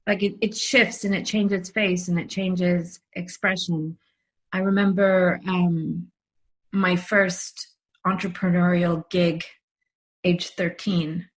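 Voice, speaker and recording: A woman hosting a podcast interview, talking in an enthusiastic, reflective way. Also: mid-range voice. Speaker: podcast host. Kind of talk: conversational interview.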